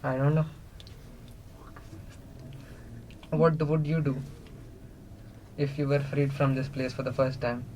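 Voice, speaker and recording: A man playing a captive, curious otherworldly being in a radio play, speaking in a deliberate, naive way and yearning for freedom. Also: medium pitch, muffled recording, lightly acted. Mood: solemn, bargaining. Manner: flat, deliberate, naive questioning. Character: captive four-dimensional being made to resemble a human, curious, yearning for freedom. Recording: noisy recording with background ambience.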